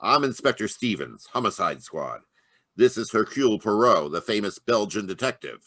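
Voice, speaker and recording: A man's voice: fairly low pitch, very animated, moderate pace, a bit bright, no echo, studio quality. A man playing a brusque, tough police inspector in a radio drama read live over a video call. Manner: brusque, barking. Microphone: home microphone on a video call.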